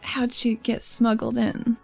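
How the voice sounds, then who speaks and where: A woman's voice: fairly high pitch, flat delivery, slow pace, creaky, soft background music, heavily acted. Woman playing Athena, a blunt, exasperated heroine. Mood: wry, teasing. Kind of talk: improvised tabletop roleplay.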